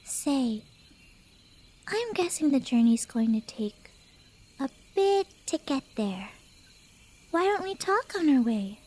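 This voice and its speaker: Girl voice actor doing a playful cheshire cat girl, slow asmr roleplay, high voice, teasing and slangy. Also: sing-song, darkish tone, full character voice. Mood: playful, coaxing.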